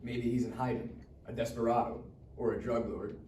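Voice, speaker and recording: Man acting as sarcastic teenage rich kid, cocky, mellow tone, live table read, roomy sound. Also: medium pitch, little pitch movement, normal speed, lightly acted. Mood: taunting. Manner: sarcastic, wisecracking. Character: cocky, foul-mouthed, bitter rich teenage schoolboy. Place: live reading event in a room. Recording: echoey room, distant mic, faint noise.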